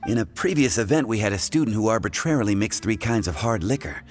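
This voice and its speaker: A man's voice: on the low side, lively intonation, fast talker, soft background music, lightly acted. An actor playing a friendly bartender explaining the basics of his trade, chuckling as he talks. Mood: instructive. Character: friendly adult male bartender teacher. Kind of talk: game cutscene voice acting.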